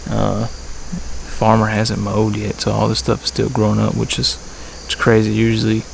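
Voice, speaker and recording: A man talking casually to camera as a bowhunting vlogger, in a laid-back, halting way, outdoors. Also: on the low side, completely flat delivery, vocal fry, low-quality recording. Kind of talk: casual vlog talk to camera. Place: outdoors in a tree saddle at a pasture. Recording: outdoor ambience with faint insect noise. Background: insects and crickets.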